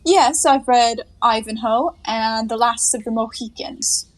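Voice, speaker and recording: An actress playing a flippant, quick-witted young woman in a 1920s comedy audio play, breezy and playfully sarcastic. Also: on the high side, natural voice.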